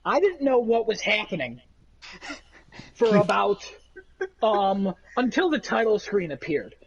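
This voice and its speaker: A man with a nasal voice, rambling through animated stories in a casual chat among friends. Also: sits high, slow, vocal fry, husky, dull audio. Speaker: podcast co-host.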